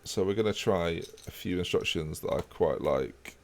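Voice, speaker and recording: Low gravelly-voiced man streaming. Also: moderate pace, slight background noise. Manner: relaxed, chatty, talks to chat while playing. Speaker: game streamer. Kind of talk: casual live-stream commentary.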